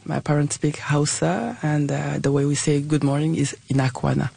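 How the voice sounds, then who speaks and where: A woman's voice: deep voice, moderate pace, gravelly, warm tone, husky, no room sound. A woman from Niger, a guest in an interview, talking casually and hesitantly with many restarts and fillers. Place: Quebec.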